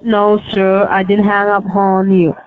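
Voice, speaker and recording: A woman's voice: mid-range voice, monotone, unhurried, no room sound. A woman working as a call center agent, curt and scripted on the phone. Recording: tinny phone line.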